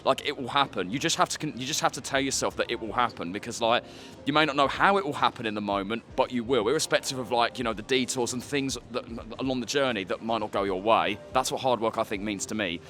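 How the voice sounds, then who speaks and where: Fast-talking young man, filler-heavy. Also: mid-range voice, fairly bright tone, a little hiss or hum. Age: 20s. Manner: earnest, peppered with filler "like". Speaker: street interviewee. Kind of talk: casual opinion talk to camera.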